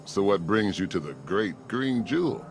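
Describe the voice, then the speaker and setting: An actor playing a kindly, folksy American pastor in a video game, sympathetic in manner. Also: male voice, lowish voice, lively intonation, moderate pace, slight background noise. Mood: friendly. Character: kindly, folksy male small-town pastor. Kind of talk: video game dialogue acting. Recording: voice over game music.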